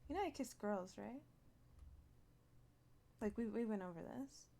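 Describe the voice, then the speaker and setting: A woman's voice: a little high, animated, measured pace, warm tone. A woman talking in character during a roleplay, playful and slipping into Spanish phrases. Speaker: roleplay player.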